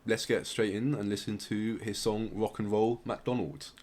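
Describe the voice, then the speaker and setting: A man hosting a podcast, talking casually and presenting in a halting, hesitant way. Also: on the low side, steady tone. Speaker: podcast host. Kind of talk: casual podcast presenting with some read-out passages. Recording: noticeable background noise.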